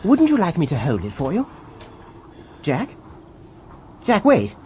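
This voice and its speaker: A polite, anxious British RAF officer in a radio drama. His pitch swings widely as he coaxes and hesitates in fussy, courteous phrases. There is faint noise behind him. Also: wildly swooping pitch, measured pace. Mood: anxious pleading.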